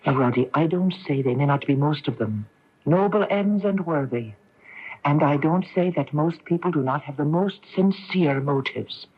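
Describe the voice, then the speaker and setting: An actress playing a loyal, protective female cousin in a radio drama, pleading gently and urgently. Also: sits low, moderate pace, slightly rough. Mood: earnest, urgent. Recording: muffled 1980s AM radio tape.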